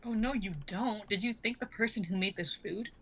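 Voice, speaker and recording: A woman's voice: mid-range voice, moderate pace, breathy edge. A woman playing a protective, motherly hobbit in an amateur fantasy script reading, stern but caring and earnest.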